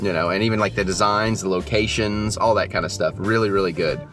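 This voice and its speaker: A young man talks through his thoughts on a film he has just seen, in a casual and hesitant way. He rambles, fills his pauses with ums and you-knows, and restarts his sentences often. Soft music plays faintly underneath. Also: on the low side, fairly even intonation. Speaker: movie reviewer, channel host. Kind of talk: casual post-movie review chat. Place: just back from the theater, at home.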